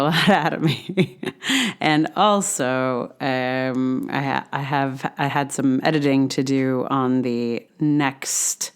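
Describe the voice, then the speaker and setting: A woman's voice: very deep voice, clean tone. A woman hosting a solo podcast, talking casually in a rambling, hesitant way and often correcting herself as she goes. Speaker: podcast host.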